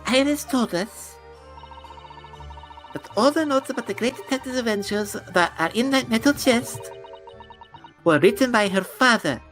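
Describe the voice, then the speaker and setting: A woman's voice: on the high side, normal speed, pauses often, a bit bright, soft background music, natural voice. A woman voicing a prim young Japanese woman in a fan dub of a game, speaking in an indignant, accusing way.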